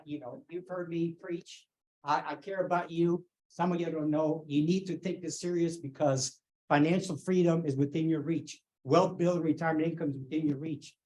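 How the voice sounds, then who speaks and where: A man moderating a real estate sales meeting panel, earnest and encouraging, with halting starts. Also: on the high side, darkish tone, rough recording. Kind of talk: casual meeting talk. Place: office meeting room.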